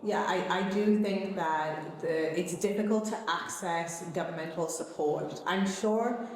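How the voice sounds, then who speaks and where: A woman's voice: lowish voice, measured pace, a little mellow. A woman with a British accent giving an unscripted interview, articulate and emphatic. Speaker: artist and foundation founder being interviewed. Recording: very echoey hall, faint noise.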